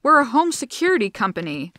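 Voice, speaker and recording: A woman's voice: fairly high pitch, sing-song, fast talker, pushed and bright, dry recording, pitched above the performer's own voice. Woman doing a fussy, mournful old scientist. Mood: fretful. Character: skinny, fretful older male scientist.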